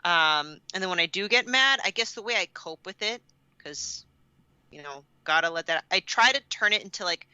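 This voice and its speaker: Woman on a podcast, super bright clear voice, kinda low for a lady, chatting candidly and emphatic. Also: lively intonation, moderate pace, dry recording, lo-fi sound. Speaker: podcast co-host. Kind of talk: casual personal chat.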